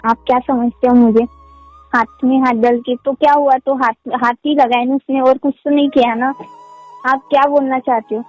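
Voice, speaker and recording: A woman's voice: high-pitched, fairly even intonation, normal speed. A young woman playing a defensive, aggrieved girlfriend in a casual, insistent phone-call argument. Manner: insistent, questioning. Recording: muffled lo-fi call sound under music.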